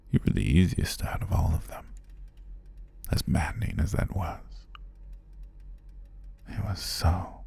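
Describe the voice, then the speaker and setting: A man playing a menacing, obsessive captor in an ASMR roleplay, savoring his phrases in a breathy, husky whisper with a gravelly murmur. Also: low voice, darkish tone, exaggerated voice. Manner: slow, savoring, drawn-out phrases with pauses. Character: menacing obsessive male captor, gloating and possessive. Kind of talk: ASMR roleplay, intimate scripted monologue.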